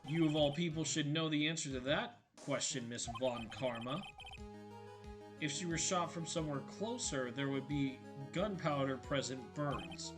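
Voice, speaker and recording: A man's voice: fairly high pitch, moderate pace, lightly acted. A man voicing an earnest young male defense lawyer, arguing point by point with urgency, over game music. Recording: game music playing behind.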